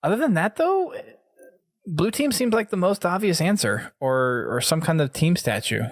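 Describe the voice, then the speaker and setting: A man's voice: high voice, big pitch swings, normal speed, no echo, clean studio sound. A man hosting a gaming podcast in a casual, conversational way. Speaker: podcast host.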